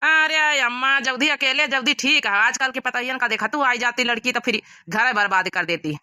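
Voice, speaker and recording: Lovestruck young rural son for a cartoon woman performer, Awadhi accent, highish and bright, gushing, folksy. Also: normal speed, no room sound, clean studio sound. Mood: casual, dutiful. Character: eager, lovestruck young village bachelor son. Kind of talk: Awadhi comedy cartoon acting.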